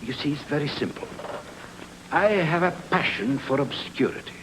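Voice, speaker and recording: An actor playing a wealthy, evasive gentleman in a noir radio drama, speaking with precise, courteous formality. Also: fairly high pitch, expressive, moderate pace, background noise, natural voice. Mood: measured unease. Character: wealthy, formal, evasive husband who shuns attention.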